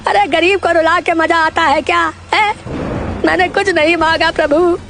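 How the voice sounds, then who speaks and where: A woman's voice: extremely high pitch, fairly even intonation, normal speed, fairly bright tone, a little hiss or hum. A woman playing a poor, devout village farmer who pleads and exclaims in a cartoon moral story. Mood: anguished. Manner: plaintive, exclamatory, imploring.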